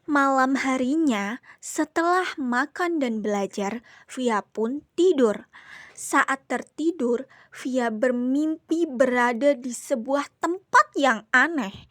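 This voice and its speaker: A young woman narrating a children's story in a deliberate, expressive way, as a student storyteller. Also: high voice, no room sound, clean studio sound. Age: young adult.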